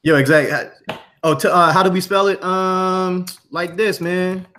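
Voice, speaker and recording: A man's voice: sits high, fairly even intonation, unhurried, sharp tone, slight background noise. A man chatting casually and earnestly as a guest promoting his course, full of slang and repetition.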